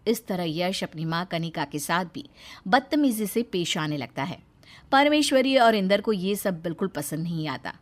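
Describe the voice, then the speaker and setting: A woman's voice: fairly low pitch, expressive, moderate pace. A woman narrating a scripted cartoon family story as storyteller.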